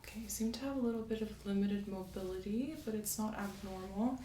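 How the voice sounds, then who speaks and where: A soft-spoken woman doing an ASMR medical roleplay as a doctor, giving gentle, polite step-by-step instructions. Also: medium pitch, fairly quick, distant mic. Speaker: ASMR performer playing a doctor. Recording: roomy sound, off-mic, faint hiss.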